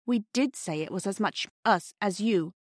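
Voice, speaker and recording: A woman voicing an earnest, gentle young girl in a storybook, anxious and pleading. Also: sits high, expressive, a little fast, no echo, clean studio sound, voice pushed up. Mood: gently worried.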